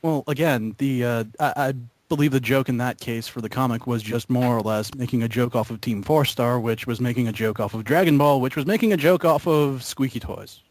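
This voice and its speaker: A young man chats casually among friends in a gaming group, close and dry with no room sound. His pitch is average, and he speaks haltingly, circling back over his words as he jokes. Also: a little mellow, no echo. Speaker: member of an online gaming video group. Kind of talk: casual joking group chat.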